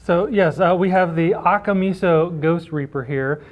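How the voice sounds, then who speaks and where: A man being interviewed, chatting casually in an informal, halting, wry way and telling anecdotes. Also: fairly high pitch, dull audio. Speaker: library director, interview guest.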